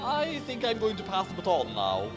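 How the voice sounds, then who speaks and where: Animated high-voiced man reading hammily. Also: moderate pace. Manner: animated, hammy when reading aloud. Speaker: co-host of a fan fiction reading. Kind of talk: dramatic comic reading with casual asides. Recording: music clearly playing behind the voice.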